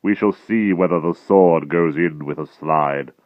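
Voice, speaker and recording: A man voicing a huge brutal male giant who makes slow, loud, sneering threats. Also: low-pitched, dry recording, lo-fi sound.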